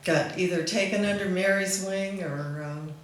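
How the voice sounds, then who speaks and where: A woman's voice: mid-range voice, normal speed. A woman informally hosting a memorial gathering and inviting speakers up. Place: echoey back room at a gathering. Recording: very echoey room, clearly noisy.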